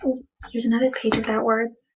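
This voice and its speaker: A woman reading a picture book aloud as a teacher to children, deliberate and expressive, stressing words for young listeners. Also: on the high side, muffled recording.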